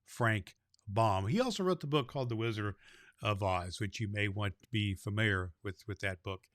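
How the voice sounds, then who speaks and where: A man's voice: fairly low pitch, normal speed, fairly bright tone, no room sound, studio quality, natural voice. A man playing a kindly, jolly old Santa Claus, hosting a children's show in a folksy, effusive way. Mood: cheerful, inviting. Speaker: host.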